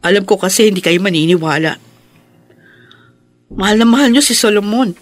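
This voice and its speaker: An actress playing a meek, apologetic young wife in a radio drama, timid and deferential, with a Filipino accent. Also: female voice, medium pitch, fairly quick, no room sound, natural voice. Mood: pleading, remorseful.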